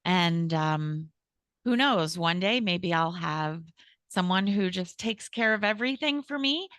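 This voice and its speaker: A woman's voice: lowish voice, animated, a little slow, a bit bright, clear, resonant tone, no room sound, studio quality. A woman hosting a podcast interview, upbeat and encouraging, with spiritual turns of phrase. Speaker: podcast host.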